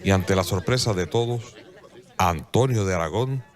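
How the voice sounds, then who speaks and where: A man giving solemn, scripted radio drama narration with measured, dramatic pauses. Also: sits low, over music.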